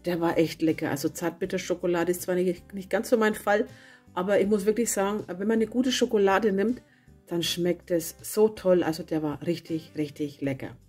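A woman with a cold and a hoarse voice, chatting casually as she narrates a home baking video and correcting herself as she goes. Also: fairly low pitch, normal speed. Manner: chatty, self-correcting, homely. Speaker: cooking channel host. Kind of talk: casual recipe voice-over. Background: soft guitar music.

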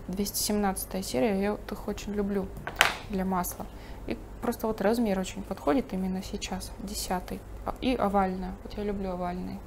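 Lady painter explaining as she goes, calm, noticeable hiss behind her. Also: mid-range voice, creaky. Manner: thinking aloud while painting, calm and explanatory. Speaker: painting instructor. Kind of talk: painting demonstration with commentary. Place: studio table, overhead camera on the painting.

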